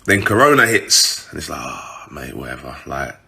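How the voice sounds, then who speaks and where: A man's voice: rumbling low, sing-song, moderate pace, creaky, sharp tone. A man with a British urban accent telling a story casually to his followers, informal, slangy and sweary.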